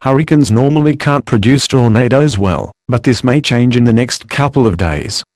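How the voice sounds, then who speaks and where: A man's voice: medium pitch, expressive, moderate pace, darkish tone, no room sound, clean studio sound, lightly acted. A man playing a composed television news anchor covering a storm, formal and matter-of-fact in a scripted broadcast. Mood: serious, composed.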